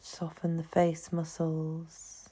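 A woman leading a guided meditation in a soothing, hushed manner, leaving long pauses between phrases. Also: deep voice, completely flat delivery, breathy edge. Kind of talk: guided meditation, slow scripted narration.

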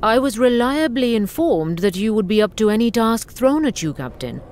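A woman's voice: a little high, very animated, normal speed, a little mellow, a little hiss or hum. A woman playing an evasive, imperious corporate station director in a video game, formal and condescending. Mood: cool, condescending. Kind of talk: video game dramatic acting.

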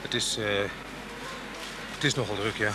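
A man's voice: fairly low pitch, heavy background noise, lightly acted. Man playing an awkward, hesitant train passenger. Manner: hesitant, halting, polite. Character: awkward, reserved, polite male train passenger. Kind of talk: Dutch radio play acting.

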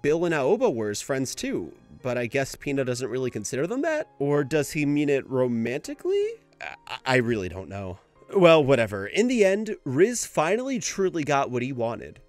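A young adult man narrating a scripted video essay in a casual style. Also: medium pitch. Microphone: home microphone, voice-over.

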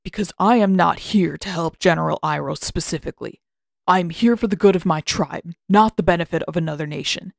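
A woman's voice: lowish voice, brisk, gravelly, no echo, studio quality. A woman voicing a stern old male master, cold, disapproving and condescending, in a storybook reading.

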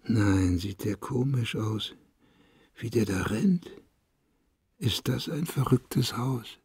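A man voicing a deranged, childlike and dreamy madman in an audio drama, talking to himself in a raspy voice. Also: fairly low pitch, expressive, darkish tone, exaggerated voice. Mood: gleeful. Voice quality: raspy, husky, creaky. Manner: slow, rambling talk to himself. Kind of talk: German audio drama of a literary story.

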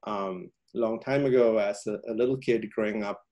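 A man's voice: on the low side, fairly even intonation, moderate pace, warm tone. A man from Sri Lanka giving a public science talk over a video call, with many ums and uhs and frequent restarts.

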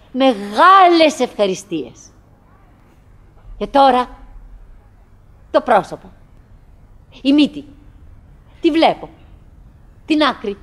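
Actress doing wistful middle-aged lady in a radio drama, highish voice, broken theatrical phrases, brave chatter to her husband. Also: lightly acted. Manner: halting, fragmented, dramatic, swinging between murmurs and calls. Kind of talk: theatrical Greek radio play monologue.

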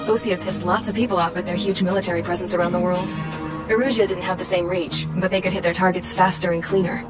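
A woman acting a tough young pilot recounting her war in a cinematic game. Her voice is low, blunt and wry, with slangy, jaded phrasing. Music plays loudly underneath. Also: steady tone, fast talker, natural voice. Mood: weary, wry. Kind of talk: first-person cinematic video game narration. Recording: loud music under the narration.

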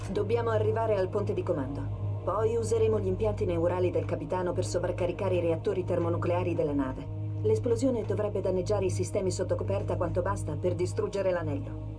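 A woman's voice: on the low side, brisk, over music, natural voice. A woman voicing a confident artificial intelligence companion in a video game, speaking in an urgent, assured, technical briefing manner. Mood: brisk, determined.

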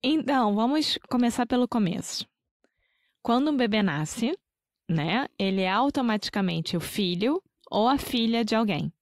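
A woman's voice: a little high, expressive, moderate pace, no room sound, studio quality. A Brazilian woman co-hosting a casual podcast that teaches Portuguese, who laughs often and pronounces words carefully. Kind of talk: casual language-teaching chat.

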